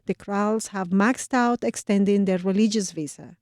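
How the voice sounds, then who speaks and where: Woman radio reporter, measured scripted narration, mellow, mid pitch. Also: no room sound, studio quality. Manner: measured, clear storytelling. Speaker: news reporter.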